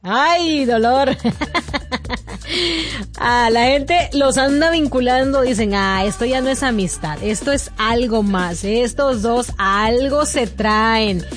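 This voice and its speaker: A chatty woman hosts a gossip segment on the radio in a playful, teasing way. Her voice sits a bit high. Soft music plays underneath her. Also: slow. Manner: chatty, playful, laughs often. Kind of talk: casual celebrity gossip chat.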